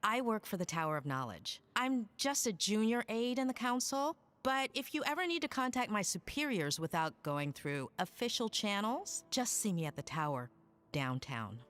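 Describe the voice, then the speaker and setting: A young eager council aide in a fantasy game, polite, persuasive, very lively intonation. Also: female voice, mid-range voice, moderate pace. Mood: cordial, persuasive. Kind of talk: scripted fantasy game cutscene acting.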